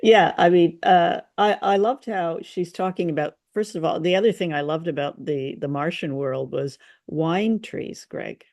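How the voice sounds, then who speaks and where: A woman co-hosting a podcast, chatting informally in a halting way. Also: on the low side, a little mellow, dry recording, studio quality. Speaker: podcast co-host. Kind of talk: casual chat, radio drama discussion.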